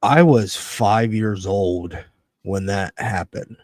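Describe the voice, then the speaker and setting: A man's voice: lowish voice, takes it slowly, no room sound. Foul-mouthed man in his thirties, dry sound. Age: early 30s. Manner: foul-mouthed, animated storytelling with quoted reactions. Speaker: wrestling podcast host. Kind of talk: casual chat, ranting and storytelling.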